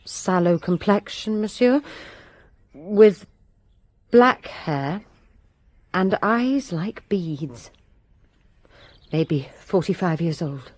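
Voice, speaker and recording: A woman's voice: fairly low pitch, lively intonation, drawn-out words, pauses often, a little mellow, no room sound. A woman playing a resentful lady's maid who gives her testimony with bitter emphasis in a radio drama.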